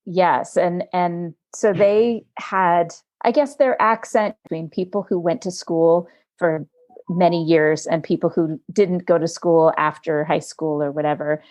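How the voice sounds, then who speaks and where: Low-voiced mellow woman podcast host. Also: fairly even intonation, measured pace. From United States. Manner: warm, talkative, searching for words with fillers. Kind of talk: conversational interview. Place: home studio in Montana.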